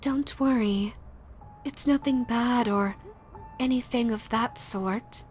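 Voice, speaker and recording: A woman playing a female yokai ghost in an audio roleplay, theatrical and deliberate, menacing yet easily flustered. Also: fairly high pitch, darkish tone, faint music behind. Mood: eerily polite. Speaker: voice actress. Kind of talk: audio roleplay, character speaking to listener.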